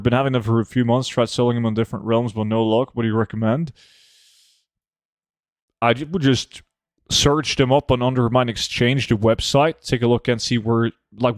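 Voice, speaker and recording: A young man streaming a game, chatting casually and answering viewer questions in a relaxed, offhand way. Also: mid-range voice, no room sound, clean studio sound. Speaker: gaming streamer reading chat. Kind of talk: casual live gameplay chat.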